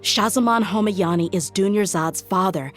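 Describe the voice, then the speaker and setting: A woman playing a confident, level-headed, loyal young mercenary in a video game, with a measured, frank delivery. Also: medium pitch, faint music behind, lightly acted. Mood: troubled.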